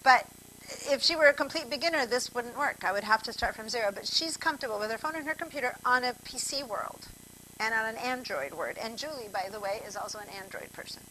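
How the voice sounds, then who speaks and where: A woman's voice: a little high, animated, fast, pushed and bright, slight background noise, rough recording. A woman from Argentina teaching a computer class over a video call, chatty and digressive, speaking straight to her students. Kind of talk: online class instruction.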